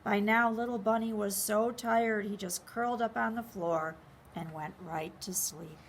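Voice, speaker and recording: A woman's voice: mid-range voice, steady tone, a little slow, slight background noise. A woman reading a children's picture book aloud expressively, speaking directly to young listeners. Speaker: story reader.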